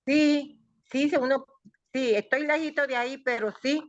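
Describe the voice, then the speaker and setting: A woman's voice: sits high, fairly even intonation, normal speed, no room sound. A woman from Colombia, a student, speaking politely and in a friendly way with her teacher on a video call. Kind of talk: casual chat on a video call. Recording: dull, distant video-call audio.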